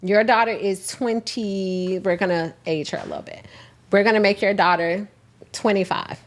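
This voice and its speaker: A woman's voice: medium pitch, steady tone, moderate pace. A woman hosting a casual chat, talking bluntly and pressing others with questions.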